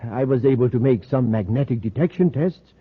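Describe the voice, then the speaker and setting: An actor playing an eager, fussy meteorite professor, polite and hesitant, in 1950s radio drama style. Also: male voice, mid-range voice, lively intonation, brisk, slightly rough. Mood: scholarly. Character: eager, excitable male professor, a meteorite expert. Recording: tinny lo-fi vintage radio recording.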